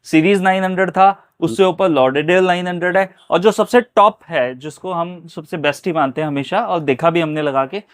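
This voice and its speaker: A man's voice: sits high, normal speed, few pauses, dry recording, clean studio sound. A man presenting a product review show in a conversational style. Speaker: video show host interviewing a dealer.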